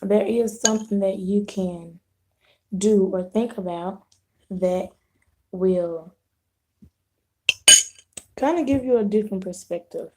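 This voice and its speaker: A hoarse woman with a sore throat, hosting a casual live video chat with her viewers, rambling and restarting her sentences. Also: mid-range voice, background noise. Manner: unhurried, rambling, clears throat, frequent restarts.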